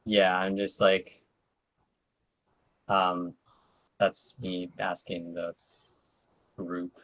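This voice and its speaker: A man's voice: sits low, flat delivery, drawn-out words, fairly bright tone. A man playing a tabletop game over voice chat, asking hesitant, trailing questions. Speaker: player.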